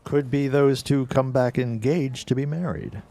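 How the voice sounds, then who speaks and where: A man's voice: mid-range voice, steady tone, measured pace, mellow, close to an everyday voice. A man acting a polite, persistent newspaper city editor in a 1910s period audio drama, speaking in a formal, courteous, probing way. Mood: probing, needling.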